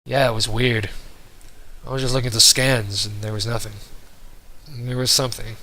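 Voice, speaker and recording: A man's voice: medium pitch, little pitch movement, normal speed, pushed and bright, slight background noise. A man playing an earnest, observant spaceship scanner operator with a clipped, factual manner. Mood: puzzled.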